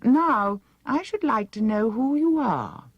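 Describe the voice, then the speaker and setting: A woman's voice: on the high side, lively intonation, slow, breathy edge, no room sound, highs cut off, voice pushed up, full character voice. A woman voicing a vain young princess villainess in an audiobook, drawling and imperious, with cool menace. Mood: haughty.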